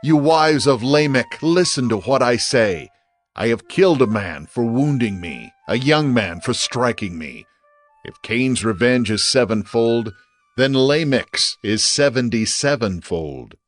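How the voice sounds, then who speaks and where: An actor playing a boastful, vengeful patriarch, proud and declamatory, in dramatized scripture. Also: male voice, mid-range voice, animated, measured pace, faint music behind, close to an everyday voice.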